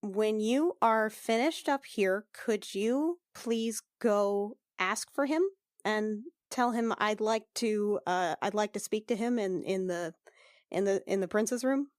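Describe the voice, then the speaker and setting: A woman's voice: medium pitch, slow, no echo, clean studio sound, close to an everyday voice. A woman playing a worried, exasperated lady medic in a tabletop game, stammering as she asks probing questions. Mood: businesslike. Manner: stammering, repeating herself, probing. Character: brisk, worried female medic noblewoman, exasperated.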